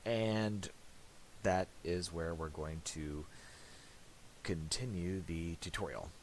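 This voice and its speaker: Male tutorial presenter, low and unhurried, halting and unscripted, casual delivery. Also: low-quality recording. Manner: halting, thinking aloud. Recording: faint hiss and mouse clicks.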